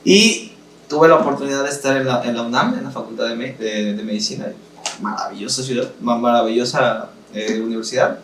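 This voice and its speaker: A man's voice: mid-range voice, brisk, fairly bright tone. A young man from Mexico City with a chilango accent, chatting as a podcast guest and telling jokes in a rambling way that trips over words. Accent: Mexico City Spanish. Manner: jokey, laughing, stumbles over words. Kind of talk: casual chat. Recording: echoey room, distant from mic, faint hiss.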